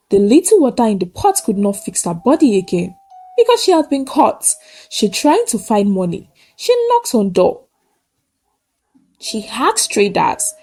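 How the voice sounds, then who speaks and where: A woman tells a folktale in a high voice that swings widely in pitch. Her phrasing is short and emphatic, with a solemn, dramatic storytelling style. Music accompanies her narration. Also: a little mellow.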